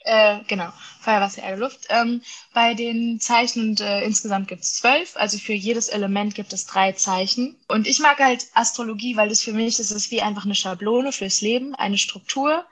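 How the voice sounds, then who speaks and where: A woman's voice: medium pitch, normal speed, a bit bright, rough recording. A woman in her twenties, an artist hosting a casual conversation, talking earnestly with many filler sounds. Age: 20s.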